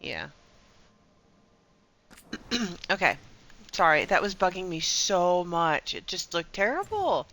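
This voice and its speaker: A woman's voice: lowish voice, big pitch swings, slow, long pauses, a bit bright. A woman giving casual live commentary as she plays a video game, often muttering short reactions to herself.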